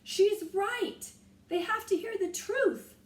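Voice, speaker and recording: A woman voicing a young boy who shouts earnestly and desperately in a storybook reading. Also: very high-pitched, slow pace, pitched above the performer's own voice. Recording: echoey room, voice from a distance.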